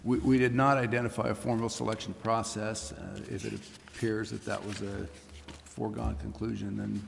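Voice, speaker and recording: A man speaking as a city official, giving measured, deferential, formal explanations at a public meeting. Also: fairly low pitch, level tone, creaky, crowd noise. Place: city council chamber. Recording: echoey chamber with faint noise.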